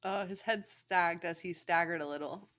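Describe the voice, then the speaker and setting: Young female fan fiction narrator, slightly low pitch, playful, giggly, informal banter. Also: a little slow, fairly bright tone. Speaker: co-host, fan fiction reader.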